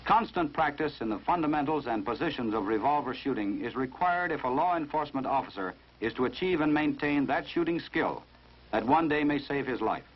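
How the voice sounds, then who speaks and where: American guy narrating an old 50s training film, formal n authoritative, husky voice, dull old soundtrack. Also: mid-range voice, expressive, brisk. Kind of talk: 1950s instructional film narration, scripted. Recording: dull, muffled vintage 1950s film soundtrack.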